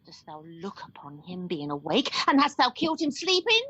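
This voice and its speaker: A bright, forceful voice, close and dry: a woman acting a fierce young heroine in radio Shakespeare. She sweeps dramatically up and down through impassioned, scolding verse, distraught and accusing. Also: mid-range voice, huge pitch swings, normal speed, breathy edge, no room sound, full character voice. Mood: furious anguish.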